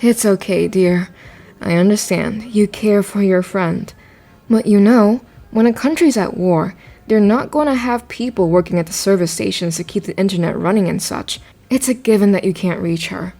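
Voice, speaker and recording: A woman playing a caring, gently realistic mother in a radio drama, soothing and matter-of-fact. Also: medium pitch, normal speed, creaky, faint music behind. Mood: gentle, resigned.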